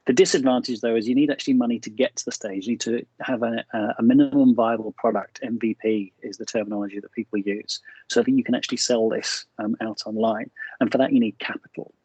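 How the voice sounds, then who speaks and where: A man's voice: medium pitch, steady tone, fast, warm tone, husky, no room sound, rough recording. A middle-aged man with an Australian accent, giving a frank, cynical seminar talk over a video call with many fillers and restarts. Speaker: guest speaker.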